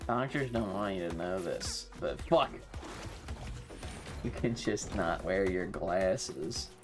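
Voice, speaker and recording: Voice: low voice, expressive, slow, over music. A streamer with an androgynous-sounding voice, chatting casually during a live game in a slangy, sweary, self-mocking way.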